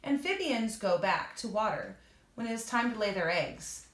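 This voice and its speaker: A woman's voice: medium pitch, sing-song, normal speed. Woman reading aloud to children, echoey room. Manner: addresses young listeners directly, explaining as she reads. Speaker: reader and teacher for children. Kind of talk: children's read-aloud with explanatory asides.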